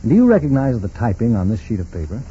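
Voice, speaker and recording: An actor playing a brisk, businesslike federal agent questioning people in a 1940s radio crime drama. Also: male voice, medium pitch, huge pitch swings, mellow. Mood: probing, firm. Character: brisk, probing male federal agent on a case. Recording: muffled, noisy 1940s radio recording.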